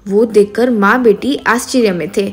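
A woman's voice: medium pitch, moderate pace, husky, faint music behind. A woman narrating a scripted storybook tale as the narrator.

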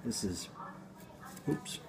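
A man in his forties hosting a casual cooking demo in his home kitchen, talking slowly and deadpan with many hesitations. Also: crowd noise. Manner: slow, rambling, deadpan, with hesitations. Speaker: home cooking video host. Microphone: phone camera on the counter.

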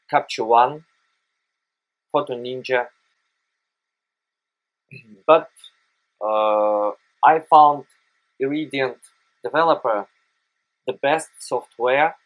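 Slow, halting Russian-accented man. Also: medium pitch, poor audio. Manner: hesitant, frequent "uh" pauses, careful non-native phrasing. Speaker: photography tutorial host. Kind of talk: screen-recorded software tutorial.

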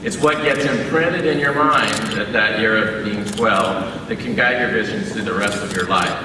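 A man's voice: on the low side, normal speed. A man in his sixties from Southern California giving an acceptance speech at a podium, with self-deprecating humor. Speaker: award recipient. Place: large convention hall stage. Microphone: podium mic. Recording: roomy hall from far off, crowd noise.

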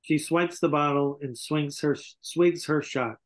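A man's voice: fairly high pitch, steady tone, unhurried. An older man reading scripted stage directions over a video call, stumbling now and then. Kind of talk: scripted narration at a table reading. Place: indoor room on a video call.

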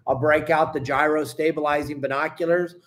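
A man's voice: on the high side, fairly even intonation, brisk, fairly bright tone, slight background noise. A middle-aged man who works as a fishing guide and boat captain gives a casual video lesson to camera from a room at home, talking in a folksy, emphatic way and calling his viewers gang.

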